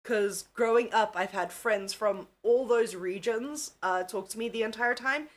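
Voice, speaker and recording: Young female livestream host, mixed South African accent, slightly high pitch, laughs often, casual and loose. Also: a little slow. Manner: giggly, chatty, swears freely. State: tired. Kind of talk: casual live chat with viewers.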